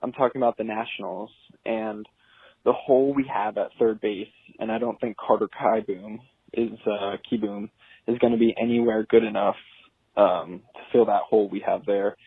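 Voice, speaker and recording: Male sports caller, informal, lowish raspy voice, thin lo-fi phone recording. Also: steady tone, moderate pace, dry recording. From United States. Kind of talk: casual voicemail message. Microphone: phone call.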